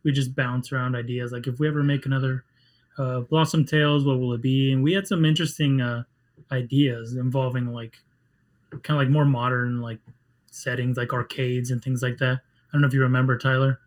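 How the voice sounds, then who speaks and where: A man whose first language is Spanish speaks in a flat, level tone. He talks haltingly, with frequent restarts and fillers, as a game developer chatting in an interview. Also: mid-range voice. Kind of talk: casual interview chat.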